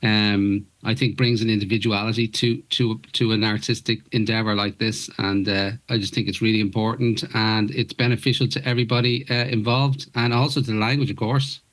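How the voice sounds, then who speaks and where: A man's voice: fairly low pitch, level tone, fairly quick, few pauses, fairly bright tone. An Irish man whose first language is Irish, an actor guest in a radio interview, talking earnestly with many stops and restarts. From Ireland.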